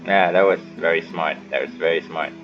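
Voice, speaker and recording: A man's voice: low-pitched, steady tone, brisk. A man giving casual, rambling commentary as he plays through a game, often muttering to himself. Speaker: gaming streamer. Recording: slightly dull mic, game music behind.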